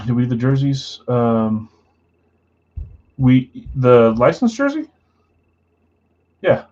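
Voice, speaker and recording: A man's voice: sing-song, drawn-out words, pauses often, darkish tone, dull audio. A man hosting a live trading card break stream, casually reading out buyers and spots in a matter-of-fact voice he calls boring. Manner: self-described boring voice, low-key and matter-of-fact.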